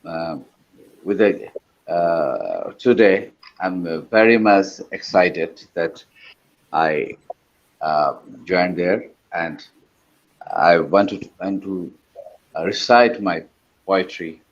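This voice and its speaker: Poet guy from bangladesh, really low voice, slow n halting. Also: lively intonation, dull audio. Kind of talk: introducing himself before a recitation. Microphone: video call.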